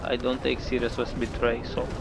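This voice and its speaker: Flat-voiced man narrating gameplay. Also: mid-range voice, normal speed, vocal fry, very noisy. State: coming down with flu. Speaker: gameplay channel host. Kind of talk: casual live commentary. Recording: game music under voice.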